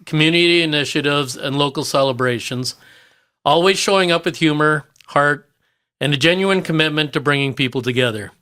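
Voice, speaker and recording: Bright-voiced man hosting a podcast. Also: a little high. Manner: upbeat, laughs often. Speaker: podcast host. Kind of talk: scripted introduction, then casual chat.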